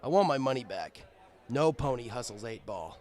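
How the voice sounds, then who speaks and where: A man voicing a gruff stallion who is a sore loser, grunting and snapping threats in an audiobook story. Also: mid-range voice, animated, normal speed, a little mellow, lightly acted. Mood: gruff demand. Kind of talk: fan fiction audiobook character voice.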